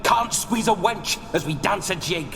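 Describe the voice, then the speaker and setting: A man's voice: high voice, lively intonation, normal speed, a bit bright. An actor playing a roguish, bawdy ghost of a dead nobleman in a video game, with theatrical, swaggering, old-fashioned speech. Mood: delighted, eager. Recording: long reverb, music behind.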